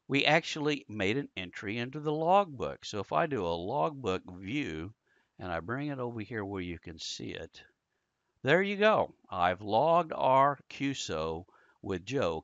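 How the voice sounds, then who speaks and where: A man giving a casual, conversational software tutorial, explaining things step by step as an instructor. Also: lowish voice, no echo. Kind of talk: casual screen-share software tutorial narration. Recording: dull lo-fi close dry voice-over.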